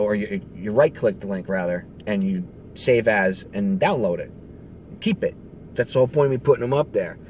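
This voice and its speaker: A man's voice: mid-range voice, darkish tone, a little hiss or hum. A man speaking as a radio show host, delivering a casual, emphatic, conversational rant with some swearing. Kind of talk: casual political rant to camera.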